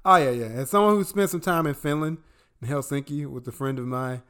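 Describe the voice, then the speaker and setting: A man's voice: a little fast, smooth. Profane, emphatic man hosting a podcast. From American Midwest. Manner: profane, emphatic, swings between loud outbursts and low asides. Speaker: podcast co-host. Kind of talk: casual political chat.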